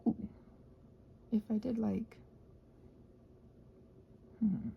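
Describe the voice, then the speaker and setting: A woman chatting casually while crafting, soft and often dropping to a near-whisper, hesitant and thinking aloud, with playful little exclamations. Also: medium pitch, fairly even intonation, extremely slow, gravelly, highs cut off, a little hiss or hum. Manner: hesitant, thinking aloud, trailing off mid-sentence. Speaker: host of a crafting ramble channel. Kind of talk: casual rambling craft chat, ASMR-like.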